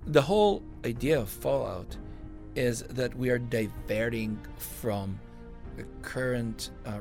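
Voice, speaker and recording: A middle-aged man from Israel, a composer being interviewed, telling stories in a relaxed, rambling way with lots of fillers and self-corrections. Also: medium pitch, creaky. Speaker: composer guest.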